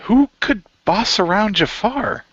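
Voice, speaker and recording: A man's voice: high voice, animated, slow pace, fairly bright tone, no room sound, exaggerated voice. A man playing a laid-back, resigned hero in an amateur radio play, speaking in a casual, understated way. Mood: casual, wry. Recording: dull lo-fi voice recording.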